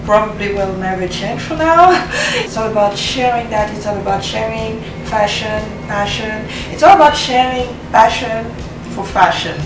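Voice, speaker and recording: A woman's voice: a little high, expressive, normal speed. A young woman from Cameroon whose first language is French, chatting casually to camera as a fashion vlogger, filmed in her apartment living room. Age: young adult. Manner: chatty, lots of fillers, laughs at herself. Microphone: far camera. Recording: roomy echo, distant mic, background noise.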